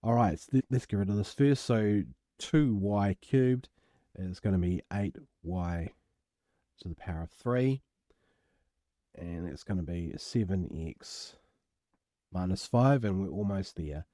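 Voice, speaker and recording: Croaky low-voiced New maths tutor. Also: male voice, measured pace, long pauses, mellow, no echo, clean studio sound. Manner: casual, self-deprecating, explains step by step. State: croaky voice from illness. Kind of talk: worked exam walkthrough. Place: home.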